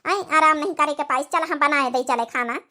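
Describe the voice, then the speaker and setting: A woman's voice: high voice, brisk, bright, forceful tone. A woman voicing a patient, doting old village mother-in-law in an Awadhi comedy cartoon, with homely, coaxing, plaintive speech and an Awadhi accent. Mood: soothing, coaxing.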